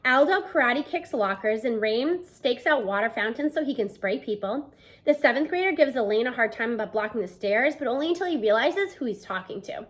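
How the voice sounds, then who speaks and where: A woman in her thirties reading a children's novel aloud as an expressive narrator, recorded at home on a device mic. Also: a little high, brisk. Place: small room at home, indoors.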